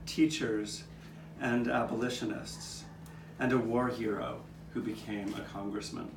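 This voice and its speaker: A man playing an old street cat who reminisces in a measured, reflective way, as scripted narration for a live puppet show. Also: medium pitch, fairly even intonation, close to an everyday voice. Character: old male street cat, reminiscing storyteller with nine lives. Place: outdoor courtyard. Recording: distant, echoey live taping with background noise.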